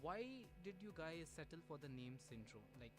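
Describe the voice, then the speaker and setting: A young man co-hosts a student radio interview. He asks his questions in a loose, rambling way full of fillers. His voice is fairly high, with faint music underneath. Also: sing-song, moderate pace. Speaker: radio co-host.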